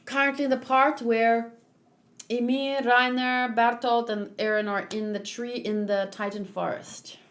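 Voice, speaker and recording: A woman's voice: fairly high pitch, little pitch movement, unhurried, fairly bright tone. A woman streamer doing a live read-along, mixing reading aloud with casual commentary.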